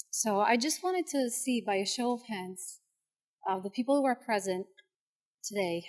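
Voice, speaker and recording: A woman whose first language is Italian, a teacher giving an earnest, persuasive public comment at a school board meeting with some hesitations. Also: mid-range voice.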